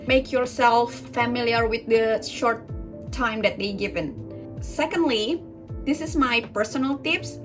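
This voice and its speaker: Indonesian-accented young woman, fairly high voice. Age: young adult. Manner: earnest, chatty, many fillers and restarts. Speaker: YouTuber. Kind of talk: casual vlog talk to camera. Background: background music.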